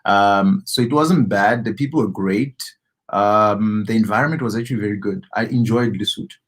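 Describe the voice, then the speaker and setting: Host, man from Ghana, low voice, relaxed chatty teacher on a live stream. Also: moderate pace. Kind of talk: casual live-stream chat.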